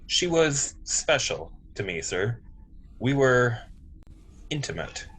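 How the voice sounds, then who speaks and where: Man playing a polite android officer, husky, lilting. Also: mid-range voice, big pitch swings, takes it slowly, a bit bright, full character voice, poor audio. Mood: reluctant, tender. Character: polite, innocent male android officer, earnest and literal. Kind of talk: amateur script table read.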